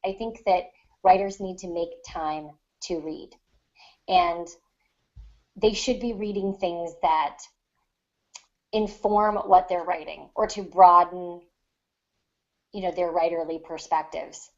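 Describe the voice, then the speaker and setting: Lower-pitched woman, dull lo-fi audio. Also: fairly even intonation, takes it slowly, long pauses. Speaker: podcast co-host, fiction writer. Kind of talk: casual panel discussion, giving writing advice. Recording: dull lo-fi online podcast audio.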